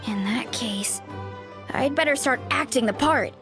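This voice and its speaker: A woman's voice: high-pitched, unhurried. A woman voicing a cheerful, easygoing young fruit seller in an anime-style video game, friendly and earnest. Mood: eager. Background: game music.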